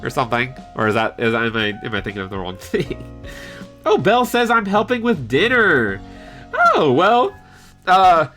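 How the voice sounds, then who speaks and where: A man chatting casually on a live stream in an animated, hesitant way, reading out and answering viewer comments. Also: fairly high pitch, normal speed. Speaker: livestreamer and amateur voice actor. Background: piano background music.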